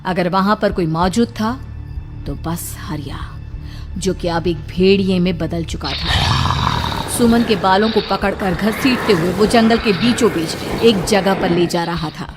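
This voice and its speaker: Low, measured woman narrating horror. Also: big pitch swings. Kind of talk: scripted horror cartoon narration. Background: music.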